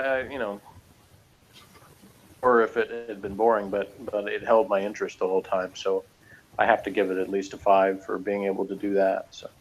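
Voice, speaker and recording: A man chatting casually as a podcast reviewer, explaining things in a halting, rambling way and often correcting himself. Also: fairly low pitch, slight background noise, low-quality recording.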